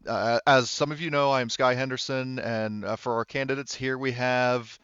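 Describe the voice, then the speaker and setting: A man's voice: mid-range voice, steady tone, moderate pace, a bit bright, clear, resonant tone, no echo, lo-fi sound, natural voice. A man voicing a formal, composed woman who moderates a debate in a tabletop game, polite and official.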